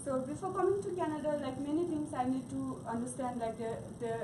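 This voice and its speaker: A woman's voice: high-pitched, a little fast. A young woman from Nepal whose first language is Gurung, speaking with a Nepali accent and giving a hesitant class presentation full of fillers and restarts. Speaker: student presenter. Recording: echoey room from far off, noisy.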